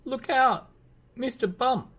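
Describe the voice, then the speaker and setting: A man's voice: very high voice, slow pace, a bit bright, slightly rough, pitched above the performer's own voice, heavily acted. A man voicing a busy, bossy little storybook character who exclaims urgently and hurries everyone along. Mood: urgent, alarmed.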